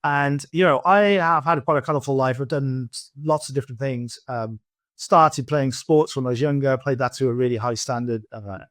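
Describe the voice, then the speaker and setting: A man's voice: medium pitch, normal speed, fairly bright tone, dry recording, clean studio sound. A Welsh man in his forties, a poker pro guest in a casual podcast interview, talking loosely with many fillers and restarts. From Wales. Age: 40s. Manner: rambling, many fillers and restarts, laughs often.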